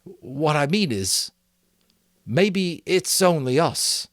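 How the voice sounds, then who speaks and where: A man doing a shy, halting young boy. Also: fairly high pitch, expressive, dry recording, studio quality, pitched above the performer's own voice. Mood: hesitant. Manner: slow, halting, unsure. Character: shy awkward boy struggling for words.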